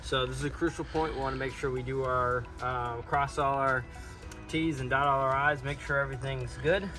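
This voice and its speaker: A man hosting a casual building vlog, explaining his outdoor job site work step by step in a relaxed, upbeat way. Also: medium pitch, normal speed, over music. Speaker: pond builder, show host.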